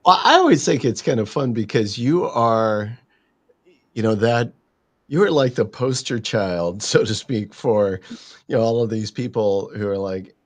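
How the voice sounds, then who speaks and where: A man hosting a live stream, upbeat and halting, with many fillers. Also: fairly low pitch, expressive, no room sound. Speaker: host. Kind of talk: casual live-stream chat.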